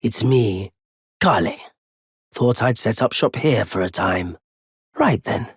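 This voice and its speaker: Tired nomad trader man for a game, super raspy breathy voice, kinda low, polite n wry, takes his time. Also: expressive, no echo, studio quality, exaggerated voice. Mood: courteous, welcoming. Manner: measured, polite, wry, understated. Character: weary, courteous nomadic merchant man with a quietly unforgiving streak. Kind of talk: video game character dialogue.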